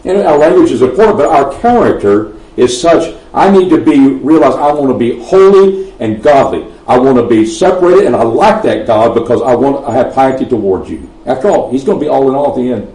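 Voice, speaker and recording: A man's voice: mid-range voice, expressive, fairly quick, hardly any pauses, warm tone. A man with a Texan accent preaching a sermon, swelling emphatically on key phrases and often restarting his sentences. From Texas. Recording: roomy echo, mic at a distance.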